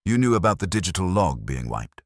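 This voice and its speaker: A man's voice: low-pitched, very animated, brisk, no room sound, pitched above the performer's own voice, heavily acted. A man voicing a wry, persistent private investigator in a detective audiobook, dry, probing and unruffled. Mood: dry, pressing. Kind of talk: detective audiobook character dialogue.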